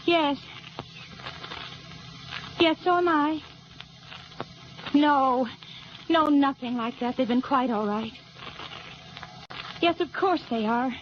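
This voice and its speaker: An actress playing a brave, earnest young hostage in a radio crime drama, pleading and hesitant. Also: female voice, high voice, takes it slowly, pauses often. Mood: urgent, pleading. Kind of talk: old-time radio drama acting. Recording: dull old radio recording, faint noise.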